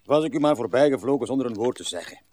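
An actor playing a doleful, courtly old man in a radio drama, speaking in a plaintive, halting, resigned way. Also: mid-range voice, expressive, a little mellow, natural voice. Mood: grumbling. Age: older.

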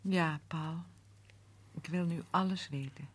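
A woman's voice: sits low, steady tone, slow pace. A woman playing an eager, anxious young woman in a radio play, breathless and pleading. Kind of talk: radio drama acting.

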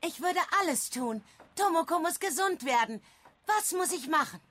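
A woman's voice: extremely high pitch, animated, normal speed, fairly bright tone. A woman playing a desperate young boy in a German radio drama, urgent and pleading. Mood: anxious, eager. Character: desperate young Japanese boy caring for his sick sister. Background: prominent music.

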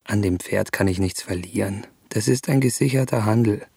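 An actor playing a hesitant, vain young gentleman traveler, speaking his reflective thoughts in a radio play. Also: on the low side, normal speed, gravelly, husky, no room sound. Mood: self-persuading.

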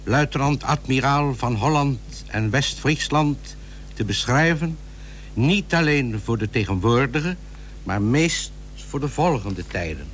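A man reading formal, archaic narration as a radio play narrator, solemn and deliberate. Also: medium pitch, lively intonation, fairly bright tone. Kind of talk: scripted narration of archaic biographical prose. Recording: hissy old radio play recording.

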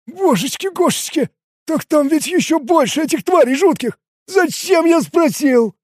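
A man's voice: very high voice, animated, takes it slowly, dark tone, husky, dry recording, clean studio sound, voice pushed up, heavily acted. A man voicing a panicky, cowardly, foul-mouthed young male sidekick with a frantic, whiny delivery in an audiobook. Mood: anxious, whining.

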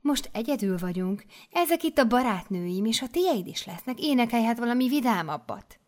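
A doting, excitable mother superior with a bright, raised voice that swoops high with delight, as a woman reading the story puts her on. She gushes and commands in the same breath, all effusive praise. It sounds like a storybook read aloud. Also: close to an everyday voice. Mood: gushing.

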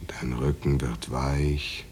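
An actor in a radio drama playing a menacing, coaxing Soviet official who speaks in a deliberate, insinuating way. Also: male voice, extremely low pitch, little pitch movement, a little mellow, a little hiss or hum. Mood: coaxing menace.

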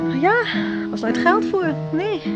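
A woman's voice: very high voice, animated, moderate pace, muffled recording, over music. An actress playing a middle-aged, doting and pushy mother in a Dutch radio play, exclaiming and easily upset. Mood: wistful. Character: doting, pushy, fretful mother of a musical daughter.

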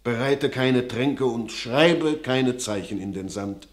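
A solemn old father in a classic radio play, full of dark foreboding, played by an actor. He speaks slowly and gravely, weighing every warning in measured verse. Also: mid-range voice, natural voice.

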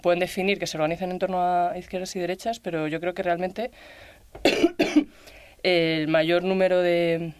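Flat, measured radio discussion guest. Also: mid-range voice, clear, resonant tone, no echo. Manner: reflective, hesitant, many fillers. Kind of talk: radio round-table discussion. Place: radio studio.

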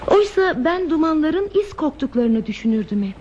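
A woman's voice: high voice, big pitch swings, moderate pace, a little mellow. An actress playing an aimless, enigmatic woman traveller, wistful, evasive and detached, in a theatrical radio play style. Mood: puzzled, wondering. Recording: dull, lo-fi radio play tape, hissy.

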